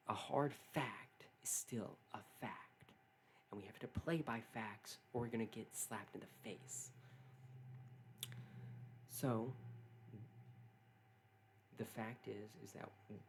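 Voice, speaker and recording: A man who is not British, talking alone in an earnest, halting way, often restarting and repeating his phrases. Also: lowish voice, slight background noise. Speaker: political commentator. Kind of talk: solo opinion monologue.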